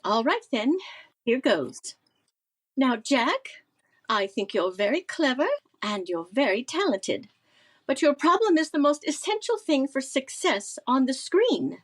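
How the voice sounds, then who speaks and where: A woman playing a poised, glamorous film actress in 1930s-style radio comedy, with a deliberate, theatrical delivery. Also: sits high, big pitch swings, breathy edge, full character voice. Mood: cool, frank.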